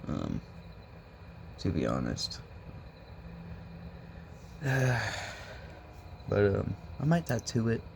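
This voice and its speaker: Young male vlogger, smoke-roughened voice, lowish, loose rambling jokes, phone mic with faint hiss. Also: huge pitch swings, extremely slow, long pauses, darkish tone. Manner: meandering, joking, laid-back. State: hoarse from smoking. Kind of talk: rambling casual vlog chat.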